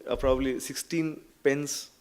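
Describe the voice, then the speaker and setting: A man answering on a conference panel, talking haltingly with many fillers and restarts. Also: medium pitch, fairly even intonation, a little mellow.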